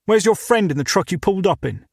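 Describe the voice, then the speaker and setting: A man voicing a hostile, armed male store owner with a British accent, talking loudly and threateningly. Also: sits high, lively intonation, quick pace, vocal fry, husky, dry recording, clean studio sound, pitched above the performer's own voice, heavily acted. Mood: suspicious. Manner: loud, threatening. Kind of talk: audiobook character voice.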